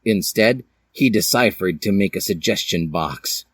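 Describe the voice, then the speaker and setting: A man's voice: low voice, animated, measured pace, slightly rough, no room sound, clean studio sound. A man reading fan fiction aloud as a dramatic, comic storyteller with a theatrical manner. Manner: theatrical, puts on character voices.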